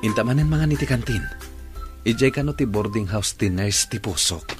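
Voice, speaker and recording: A man's voice: fairly low pitch, expressive, normal speed, music in the background, lightly acted. A man playing an earnest, devoted young lover in an Ilocano radio drama, with an Ilocano accent.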